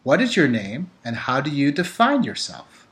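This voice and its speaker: A man's voice: medium pitch, normal speed. Enthusiastic male interview host. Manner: enthusiastic, expressive reactions. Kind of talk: interview hosting.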